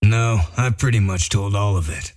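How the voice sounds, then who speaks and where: Male actor as gruff detective, low-pitched, dry wit, matter-of-fact delivery, comic dub drama. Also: moderate pace, darkish tone, natural voice. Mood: dry, unbothered. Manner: matter-of-fact, wry explaining. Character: Bigby Wolf, gruff dry-witted male detective sheriff. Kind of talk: amateur comic dub acting.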